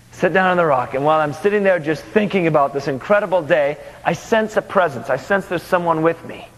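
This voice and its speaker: A man preaching a story in the first person, animated and conversational, in a large hall. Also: high-pitched. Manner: animated, conversational, with acted dialogue. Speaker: pastor. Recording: large hall with long reverb.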